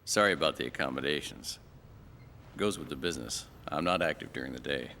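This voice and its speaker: An actor playing a cynical ex-military fugitive pilot, giving a sardonic, menacing account with a gravelly voice in a game cutscene. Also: male voice, a bass voice, brisk, sharp tone, heavily acted. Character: cynical fugitive ex-air force assassin pilot. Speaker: former fighter pilot interviewed. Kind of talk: dramatic documentary-style interview acting. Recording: clear background noise.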